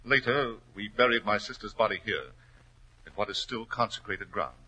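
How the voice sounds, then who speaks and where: An actor playing a desperate, hot-tempered aristocrat in a 1940s radio melodrama, impassioned and pleading, with a slightly rough, forceful voice. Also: male voice, on the low side, expressive, normal speed, full character voice, low-quality recording. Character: desperate, hot-tempered aristocrat racehorse owner, cornered and guilty. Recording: old 1940s radio recording.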